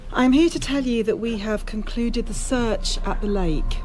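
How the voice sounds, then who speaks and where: An actress playing a formal, guarded police officer in a radio drama, measured in manner. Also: female voice, a little high, a little mellow, soft background music, natural voice. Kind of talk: naturalistic radio drama acting.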